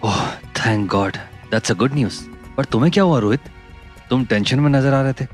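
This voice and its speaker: A man's voice: medium pitch, a little slow, soft background music, natural voice. An actor playing an easygoing, teasing male friend in an audio drama, with a breezy manner. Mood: concerned.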